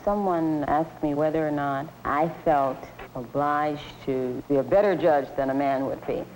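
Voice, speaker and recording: A woman's voice: low voice, unhurried, darkish tone, rough recording. A woman judge in her forties talking candidly in a documentary interview. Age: 40s. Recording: 1970s film sound with faint noise.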